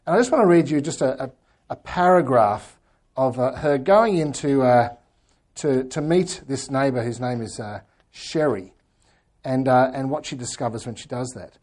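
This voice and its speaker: Croaky man giving a talk. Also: medium pitch, animated, normal speed. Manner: earnest, often trailing off quietly. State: hoarse. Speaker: speaker at a church talk. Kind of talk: talk with book reading.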